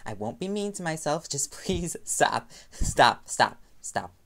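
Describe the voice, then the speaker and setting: Man acting a playful boyfriend, lively pitch swings. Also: slow pace, gravelly, heavily acted. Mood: giggly, protesting. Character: young playful, self-deprecating reporter boyfriend. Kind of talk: roleplay audio drama, intimate one-sided dialogue.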